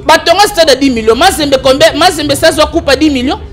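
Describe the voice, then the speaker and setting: High-voiced Congolese man, animated debater. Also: fairly quick. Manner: animated, argumentative, mixes French and Lingala. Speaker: political commentator. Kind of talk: political talk show chat.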